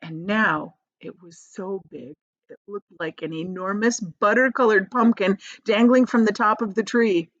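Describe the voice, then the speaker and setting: A woman reading a children's story aloud as a teacher would, with expressive narration. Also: mid-range voice, a little slow, dry recording, dull audio.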